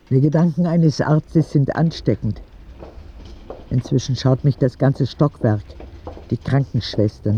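A man's voice: mid-range voice, muffled recording, lightly acted. A man playing an earnest, candid ten-year-old sick boy who narrates his letters in a radio drama. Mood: candid, wistful.

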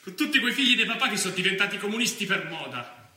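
Young Roman extremist thug: high, piercingly bright, crude, swaggering, slangy street monologue. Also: male voice, animated, quick pace, exaggerated voice. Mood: restless, confiding. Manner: foul-mouthed, slangy, repetitive. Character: young Roman neo-fascist militant, crude, restless, swaggering. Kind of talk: theatrical monologue. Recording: echoey room from far off, faint noise.

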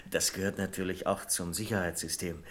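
A man's voice: deep voice, fairly even intonation, moderate pace, fairly bright tone. An actor playing a deferential but self-assured bank deputy in a German radio play, polite and matter-of-fact as he explains things. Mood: reassuring, explanatory.

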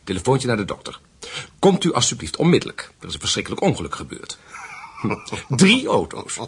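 An actor playing a fawning, coy, cold-blooded assassin in a radio play, with a husky voice. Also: male voice, medium pitch, huge pitch swings, a little fast, full character voice. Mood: matter-of-fact, then gloating.

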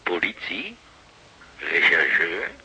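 A man's voice: deep voice, very animated, slow pace, bright, forceful tone, slight background noise, exaggerated voice, poor audio. A man playing a flustered, wary art dealer on a telephone in a radio drama, fussy and hesitant. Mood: startled, puzzled. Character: flustered, wary male art dealer taking a phone call.

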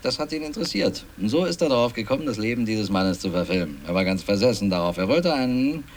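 A man's voice: on the low side, expressive, fast talker, natural voice. A man playing an earnest film company employee in a radio play, recounting events in a rambling, hesitant way and repeating himself. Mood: earnest, recalling. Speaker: interviewee. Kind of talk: documentary-like interview in a radio play. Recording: clearly noisy mock field tape.

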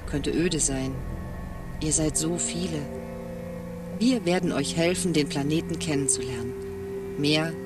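Acted mysterious mentor, solemn and measured, distant sound, music underneath, radio drama. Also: medium pitch, natural voice. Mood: solemn reassurance.

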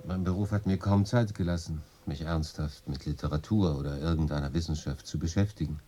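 A man playing a reserved, modest, cultured English consul in a German radio drama, calm, polite and thoughtful. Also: a bass voice, fairly quick.

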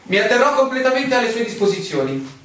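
An actor playing a newly arrived land surveyor, formal and insistently questioning, in a stage-style radio drama. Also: male voice, very high voice, very animated, fast, a bit bright, heavily acted. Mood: formal, inquisitive. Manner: formal, questioning, hesitant asides. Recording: echoey room, distant mic, faint noise, clicks.

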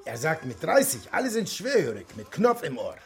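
A man's voice: fairly high pitch, huge pitch swings, moderate pace, gravelly, full character voice. An actor in his fifties playing a friendly but fearless Turkish kiosk owner, with a Turkish accent, in a naturalistic radio drama. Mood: friendly, teasing. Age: 50s.

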